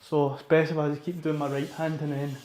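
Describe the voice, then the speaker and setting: A man's voice: a little high, level tone, brisk, darkish tone, slight background noise. A Scottish man with a congested, sniffly voice from a cold, chatting informally as he talks through his workout on a vlog. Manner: informal, mildly sweary. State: getting over a cold. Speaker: fitness vlogger. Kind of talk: casual workout vlog chat and rep counting. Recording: roomy, echoing sound.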